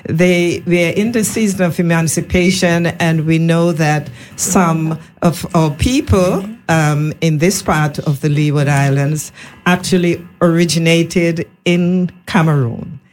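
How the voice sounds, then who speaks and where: Slow, deep-voiced woman host. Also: fairly even intonation, a little mellow. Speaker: radio host. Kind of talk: radio panel hosting. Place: radio studio.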